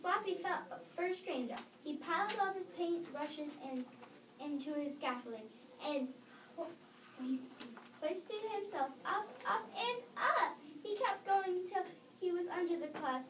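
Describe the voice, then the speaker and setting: A high-pitched child reads a story aloud in a halting way, fixing stumbles along the way. The voice sits far from the microphone in a roomy, noisy space. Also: animated. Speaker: reader in a readers theater performance. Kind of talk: scripted story reading aloud. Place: echoey room. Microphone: distant microphone. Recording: roomy, distant microphone, noticeable background noise.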